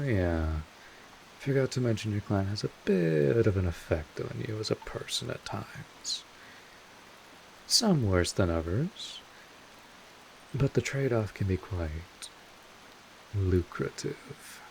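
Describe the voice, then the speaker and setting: Low, slow-talking streetwise vampire mentor, played by a man. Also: sing-song, gravelly, a little hiss or hum, exaggerated voice, rough recording. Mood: wry, sympathetic. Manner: rambling, informal, mildly exasperated, explanatory. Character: gruff but caring streetwise male vampire mentor. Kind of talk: scripted audio roleplay addressed to the listener.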